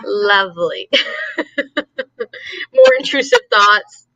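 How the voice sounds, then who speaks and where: A woman's voice: mid-range voice, huge pitch swings, takes it slowly, bright, forceful tone, dry recording, low-quality recording. A giggly woman co-hosting a casual livestream chat, repeating words for emphasis. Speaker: co-host of a live reading sprint stream.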